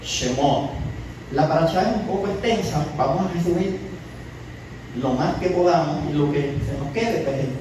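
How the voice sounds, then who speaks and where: A man's voice: fairly high pitch, normal speed. Puerto Rican man, echoing from afar. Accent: Puerto Rican Spanish. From Puerto Rico. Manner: asks the audience questions and repeats words, often adding a tag ¿verdad?. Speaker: congregation teacher. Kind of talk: Torah portion teaching. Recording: very echoey distant room recording with noise.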